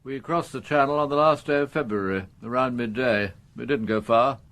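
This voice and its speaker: An actor playing a fussy upper-class English gentleman in a radio crime drama, languid, grumbling and well-mannered. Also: medium pitch, normal speed, close to an everyday voice.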